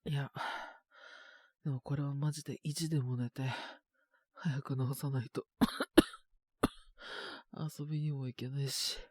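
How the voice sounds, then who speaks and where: A man's voice: medium pitch, flat delivery, slow pace, pauses often, darkish tone, slightly rough, no echo, clean studio sound. A man playing a sick, feverish young boyfriend in an audio drama, exhausted and congested, muttering to himself between sighs. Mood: achy, grumbling. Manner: sighing, muttering to himself in fragments. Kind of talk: Japanese situation voice roleplay, audio drama.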